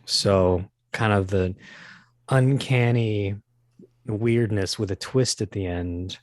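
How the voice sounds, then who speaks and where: Low-pitched, hesitant man chatting. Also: a little mellow, no room sound, clean studio sound. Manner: hesitant, halting, wry and joking. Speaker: voice actor, interview guest. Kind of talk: casual interview chat.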